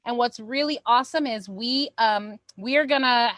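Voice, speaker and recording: A woman's voice: fairly high pitch, moderate pace, a bit bright, no echo, clean studio sound. A woman co-hosting a theatre company's online show, giving casual, upbeat announcements to viewers in an informal way.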